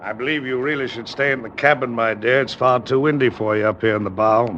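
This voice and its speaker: An actor playing a menacing, jealous sea captain with clipped formal manners, in the style of 1940s radio drama. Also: male voice, mid-range voice, steady tone, fast, a bit bright, muffled recording, close to an everyday voice. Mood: cold courtesy. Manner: clipped, formal, falsely polite, turning explosive. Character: menacing, jealous sea captain and husband, unravelling under strain. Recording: noisy vintage radio recording.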